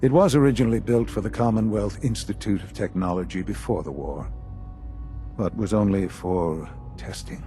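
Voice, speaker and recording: A man plays an older, authoritative head of a secretive institute in a game drama. The voice is low and mellow, delivered in measured, formal phrases with deliberate pauses, over faint music. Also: lightly acted. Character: grave older male leader of a secretive science institute, dying.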